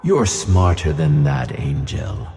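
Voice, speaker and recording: A man playing a gentle, wise magical tuna fish who reassures his friend in a children's audio play. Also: very deep voice, big pitch swings, takes it slowly, long reverb tail, heavily acted. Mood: patient, encouraging.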